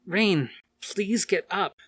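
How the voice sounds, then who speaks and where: Man voicing a defiant, insistent heroine, pitched up. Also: takes it slowly, a bit bright, husky, no echo, heavily acted. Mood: pleading, defiant. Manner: insistent, emphatic. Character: determined, livid woman heroine, pleading and defiant.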